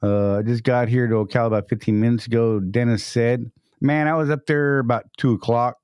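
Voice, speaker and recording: A man's voice: medium pitch, fairly even intonation, a little fast, a little mellow, clean tone, no room sound, studio quality. A man hosting a casual live stream, upbeat and greeting chat viewers by name.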